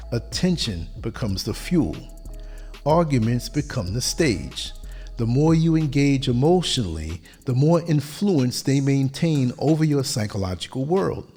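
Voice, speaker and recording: A man with a husky, worn-down voice that sounds close to giving out, delivering a podcast monologue in measured, emphatic sentences. His pitch swings widely as he stresses each point. Soft music plays faintly underneath. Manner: measured, emphatic delivery in short declarative lines. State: hoarse, losing his voice. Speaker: podcast host. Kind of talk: motivational monologue on relationships.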